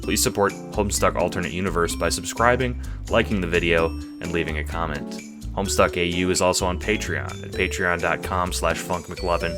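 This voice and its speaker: A man reading scripted audiobook narration as the narrator of an audio drama. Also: low voice. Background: music.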